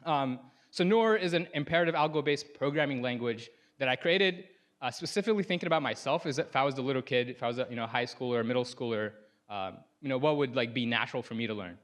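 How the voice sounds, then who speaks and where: A man's voice: mid-range voice, fast talker, fairly bright tone. A young man from Sudan, a native Arabic speaker, giving a casual conference talk on stage into a lapel mic, often using "like" and "you know".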